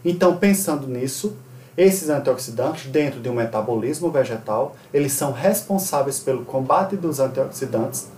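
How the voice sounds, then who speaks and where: A man in his thirties from Brazil, giving a recorded online conference lecture in a steady, explanatory way and sometimes correcting himself mid-word. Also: mid-range voice, moderate pace, far from the mic, slight background noise. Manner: steady explanatory delivery with occasional self-corrections. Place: small indoor room. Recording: roomy echo, voice off-mic.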